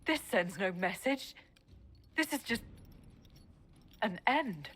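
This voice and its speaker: A guilt-stricken woman warrior, speaking gravely at an ordinary middle pitch. She condemns herself without pleading. Faint noise hums behind the voice. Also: expressive, takes it slowly, fairly bright tone. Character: remorseful female warrior seeking punishment. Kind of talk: video game voice acting.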